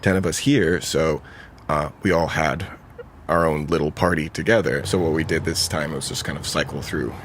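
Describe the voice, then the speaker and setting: A man's voice: a bass voice, steady tone, normal speed, fairly bright tone, slight background noise. A man casually recalling things in an interview. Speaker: housemate being interviewed.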